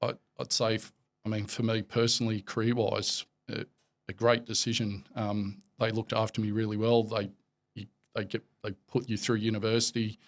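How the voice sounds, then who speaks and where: An Australian man in his forties, a podcast guest talking in a relaxed, rambling way with lots of fillers and restarts. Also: lowish voice, normal speed. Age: 40s. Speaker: podcast guest, company executive. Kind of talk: casual interview chat.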